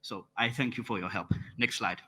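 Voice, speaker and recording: A man's voice: on the low side, steady tone, moderate pace, pushed and bright. A young South African man with a South African accent giving a friendly, lightly joking conference report and calling for slides. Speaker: conference track rapporteur. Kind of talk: conference presentation. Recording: reverberant conference hall.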